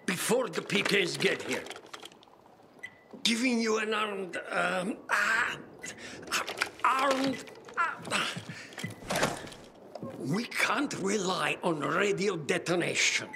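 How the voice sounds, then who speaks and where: An actor playing a frantic, absent-minded older male bomb maker in a video game, halting and flustered as he searches for words. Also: sits high, lively intonation, creaky, very noisy, full character voice. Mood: distracted.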